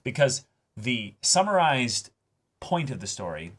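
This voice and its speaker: Halting, earnest man discussing casually. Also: medium pitch, very animated, slightly rough. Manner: halting, searching for words, earnest. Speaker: reaction show host.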